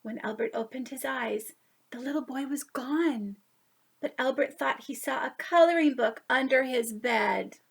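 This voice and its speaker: A woman reading a picture book aloud to young children, expressive, with drawn-out emphasis on key words. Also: sits high, vocal fry, slightly rough. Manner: animated, drawn-out emphasis, asides to listeners. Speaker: children's story reader.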